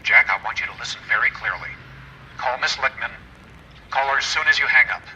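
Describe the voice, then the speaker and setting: Bullying, forceful American executive. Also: male voice, mid-range voice, fairly quick, highs cut off. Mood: stern, commanding. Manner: curt, commanding. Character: evasive, bullying male insurance executive. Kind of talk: video game acting, period noir drama.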